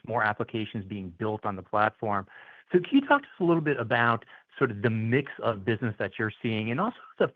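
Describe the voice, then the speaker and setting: Male financial analyst, lowish voice, unscripted questions, dry and slightly muffled conference line. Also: no room sound. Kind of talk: spontaneous earnings-call questioning.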